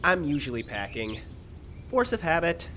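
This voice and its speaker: Terse, stubborn man acted, lively pitch. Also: moderate pace, a little hiss or hum. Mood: guarded. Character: laconic armed man turning stubborn and greedy. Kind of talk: live old-time radio style acting.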